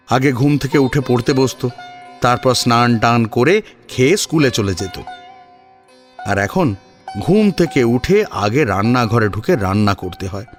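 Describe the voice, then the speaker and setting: A male storyteller with a mid-pitched voice and lively, varied intonation. He narrates a scripted tale expressively. Soft instrumental music plays behind him. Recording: music under the voice.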